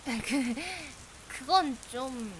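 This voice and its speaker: A woman playing a persistent young female investigator in a visual novel, playful and sulky, with a wheedling, pouty, expressive delivery. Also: high voice, very slow, exaggerated voice. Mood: sulky, pushy. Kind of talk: visual novel voice acting, anime-like drama. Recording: hiss with steady rain behind.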